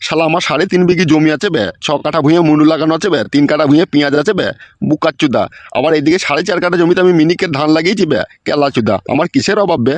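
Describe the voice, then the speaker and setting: A man's voice: on the high side, very fast, dry recording, close to an everyday voice. A man voicing an aggrieved, boastful old village husband in a comic cartoon, cursing and grumbling in a rural West Bengal accent. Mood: indignant boasting.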